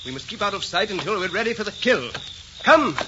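A muffled, faintly hissy old radio recording. a haughty male villain, high and bright in voice, speaking with cold, threatening melodrama as a jealous aristocratic rival. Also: sing-song, a little fast. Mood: scheming, commanding. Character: menacing, haughty English gentleman villain, jealous rival suitor. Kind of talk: 1940s radio drama acting.

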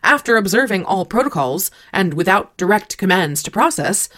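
A woman's voice: medium pitch, expressive, moderate pace, fairly bright tone. A woman playing a precise, obliging computer program in an audio drama, reporting in a formal, methodical, robotic way. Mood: precise, factual. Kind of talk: scripted audio drama acting.

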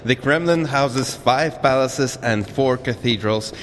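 An actor playing a stern, anxious Russian escort in an audio drama, with a Russian accent and a dramatic, exasperated manner. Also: male voice, medium pitch, takes it slowly, a bit bright. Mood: proud, solemn. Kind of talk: audio fiction drama acting. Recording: echoey reverberant hall, background noise.

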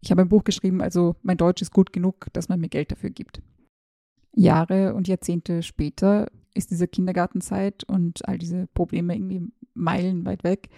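Woman in her 30s, chatty voice-message style, dark voice, pretty monotone, lots of hesitant ums. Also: fairly low pitch, normal speed, creaky, no room sound, clean studio sound. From former Yugoslavia, raised in Austria. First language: Serbo-Croatian. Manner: chatty and self-ironic, hesitant fillers. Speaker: podcast host, journalist. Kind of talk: casual personal monologue, like a voice message.

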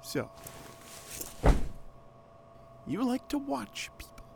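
A man's voice: very high-pitched, big pitch swings, moderate pace, gravelly, heavy background noise, heavily acted. A man playing a defiant teenage rebel leader in an audio drama, blunt and sarcastic but earnest.

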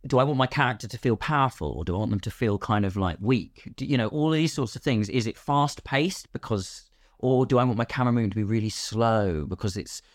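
A man's voice: medium pitch, fairly quick, no echo, clean studio sound. A British man talking casually as a podcast guest, explaining his craft and acting out imagined questions as he goes. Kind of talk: casual conversational explanation of filmmaking.

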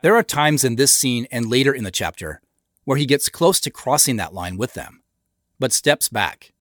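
Guy doing director's commentary, scripted n explanatory, lively intonation, close dry mic no room sound. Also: mid-range voice, normal speed, dry recording. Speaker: show creator and commentator.

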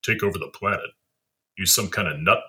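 A gruff, wry magazine man, played by a male actor, in an audio drama. His deep voice has a bright, forceful edge as he pries in short, clipped phrases. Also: fairly quick. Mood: gruff, curious. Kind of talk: audio play acting.

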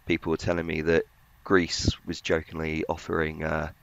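A man with a very low voice and plenty of fry gives conversational commentary as a podcast panellist. His delivery stays flat, and the audio is slightly dull. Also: normal speed. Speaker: financial journalist, podcast panellist.

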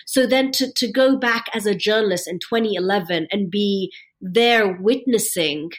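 British woman, interview guest, journalist, animated, repeats words mid-thought, mid pitch. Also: normal speed. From born in London. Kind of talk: conversational interview.

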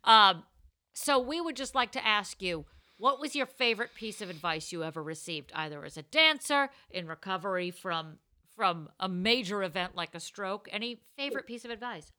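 Bright-voiced woman hosting a comedy advice podcast, gushy and playful. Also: mid-range voice, expressive, moderate pace. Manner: effusive, playful. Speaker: podcast co-host.

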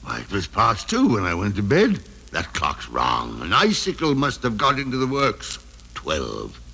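A man playing a crotchety, fearful old miser in an old-time radio drama, theatrical and exclamatory, with a gravelly voice. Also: on the low side, wildly swooping pitch, measured pace, sharp tone, full character voice. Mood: baffled. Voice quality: gravelly, creaky. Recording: faintly noisy vintage radio recording.

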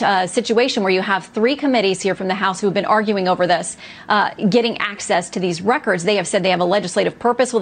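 Female news correspondent, clear, explanatory delivery. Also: medium pitch. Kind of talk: news report.

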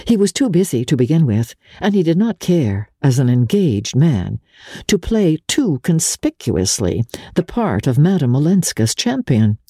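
A woman narrating an audiobook of classic literature as a dramatic reading, measured and expressive. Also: low voice, breathy edge, no echo, studio quality.

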